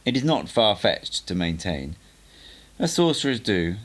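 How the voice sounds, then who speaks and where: An assured, cutting sorcerer teacher, as a male audiobook reader plays him, lecturing on mysteries. The voice is low and gravelly with strongly varied intonation, and every point is laid out deliberately and emphatically. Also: fairly bright tone, exaggerated voice. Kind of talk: audiobook character dialogue.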